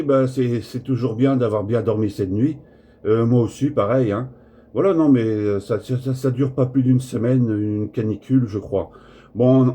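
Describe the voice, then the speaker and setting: A man chatting casually as an amateur radio operator, talking in a rambling, hesitant, friendly-paced French radio-contact style with a husky voice. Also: mid-range voice, warm tone.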